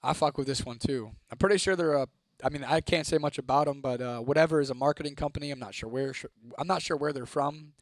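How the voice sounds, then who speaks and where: A man's voice: fairly high pitch, quick pace, few pauses, no room sound. Casual swearing streamer guy. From Toledo, Ohio, United States. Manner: laid-back, swears freely, laughs often. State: voice getting hoarse. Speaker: livestreamer. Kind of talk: casual live-stream chat reading comments.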